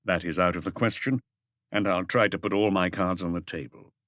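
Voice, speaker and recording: A male narrator plays a patient, methodical detective in an audiobook mystery. The voice is on the low side, formal and deliberate, with a probing firmness. It sounds dry and close. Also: steady tone, a bit bright, dry recording. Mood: measured, firm. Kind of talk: audiobook character dialogue.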